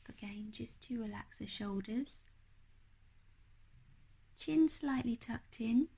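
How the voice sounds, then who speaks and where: Slow, hushed woman guiding a meditation. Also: a little high, husky, poor audio. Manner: gentle, unhurried, hushed. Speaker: meditation teacher. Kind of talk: guided meditation narration.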